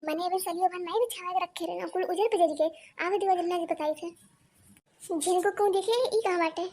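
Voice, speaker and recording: A fretful cartoon village wife with a piercingly high voice and an Awadhi accent. She complains and scolds in wailing exclamations, like a put-upon mother in a rustic comedy. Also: fairly even intonation, a little fast, darkish tone. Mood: angry scolding.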